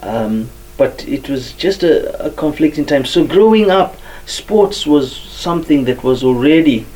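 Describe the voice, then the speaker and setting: A man from Zimbabwe tells his story as a guest, pausing often and filling gaps with ums. His voice is mellow and dark. He sits a little back from the microphone. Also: on the high side, animated, recorded from a distance, rough recording. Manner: many fillers, soft-spoken. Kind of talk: hesitant personal testimony.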